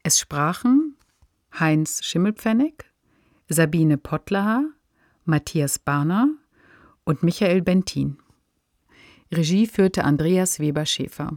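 A woman's voice: on the low side, lively intonation, slow pace, pauses often, darkish tone, no echo, clean studio sound. A woman hosting a podcast in an articulate, informal presenting manner. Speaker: podcast host. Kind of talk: scripted credits and conversational discussion.

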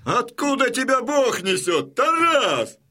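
An old grey-haired Cossack in an audio drama. The voice is very high, piercing and bright, with wide theatrical swings. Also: male voice, sing-song, slow pace, exaggerated voice. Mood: hearty greeting.

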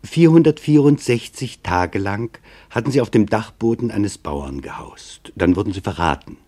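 A man narrating a scripted radio documentary in a sober, deliberate manner. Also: fairly low pitch, expressive, warm tone.

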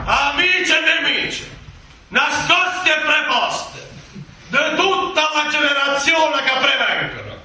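Shrill, pompous demagogue. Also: male voice, exaggerated voice. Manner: pompous, shouted, drawn-out declamation. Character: bombastic male small-town politician haranguing citizens, buffoonish demagogue. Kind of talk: satirical stage oratory. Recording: echoey hall from far off, faint noise.

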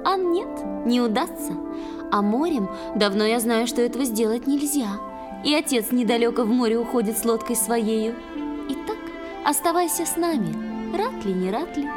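A woman acting a wilful, teasing young girl from a fairy-tale audio play. Her voice is fairly high and playful, delivering verse with self-satisfaction over clearly audible music. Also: lively intonation, moderate pace, natural voice. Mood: teasing, pleased.

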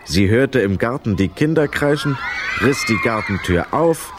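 A man narrating a children's radio play as a scripted story, with expressive, dramatic delivery. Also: mid-range voice, measured pace, music in the background, crowd noise.

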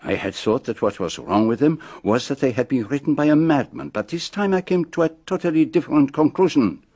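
An actor playing a shrewd, self-assured male detective with a French-speaking accent, speaking in measured, pompous reveals in a radio drama. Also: medium pitch, animated, darkish tone, husky, no echo. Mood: measured, triumphant.

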